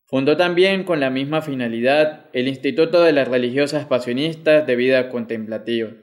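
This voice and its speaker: A young man reading a prepared text aloud at Mass in a formal, measured manner, at a lectern microphone. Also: mid-range voice. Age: young adult. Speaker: liturgical reader at Mass. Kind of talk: scripted reading. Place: small indoor chapel. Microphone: microphone on lectern stand.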